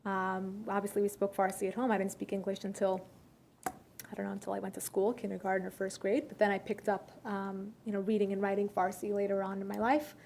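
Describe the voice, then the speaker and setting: A young adult woman answering questions at a luncheon, speaking in a measured way with filler pauses and restarts. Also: medium pitch, little pitch movement, gravelly, a little mellow, a little hiss or hum. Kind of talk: conversational Q&A talk. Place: luncheon room.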